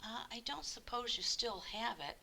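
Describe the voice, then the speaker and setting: A woman acts out a nervous storybook postman, sheepish and apologetic in temper. He talks in a hushed, halting way, with a bright edge over slight hiss. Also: a little high, expressive, vocal fry, pitched above the performer's own voice, exaggerated voice, poor audio. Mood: anxious, apologetic. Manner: halting, hesitant, subdued. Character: worried, sheepish postman owning up to a mistake.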